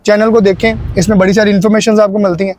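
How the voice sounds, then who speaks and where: A man's voice: high-pitched, level tone, fast, a little mellow. A man with a Pakistani accent hosting a conversation and earnestly advising viewers, pleading and often checking in with short tags. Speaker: YouTube channel host.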